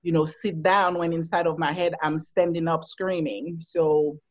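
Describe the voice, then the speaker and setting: A woman in her thirties telling her life story as an interview guest, laughing often and getting animated when she quotes herself. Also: deep voice, normal speed, no echo. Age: 30s. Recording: dull lo-fi sound.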